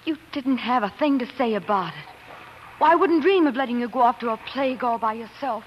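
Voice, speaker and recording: A woman's voice: a little high, lively intonation, brisk, highs cut off, close to an everyday voice. A woman acting in a 1940s radio drama as a devoted, plucky young doctor's wife, on a noisy old broadcast. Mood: eager, determined. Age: young adult.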